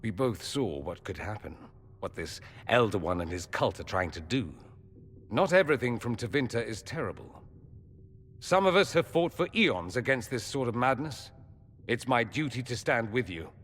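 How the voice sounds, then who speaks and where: An actor playing an urbane, sardonic foreign mage in a video game, with dry, theatrical wit. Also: male voice, mid-range voice, very animated, moderate pace, a bit bright. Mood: earnest. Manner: witty, dry, theatrical sarcasm. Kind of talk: video game dialogue acting.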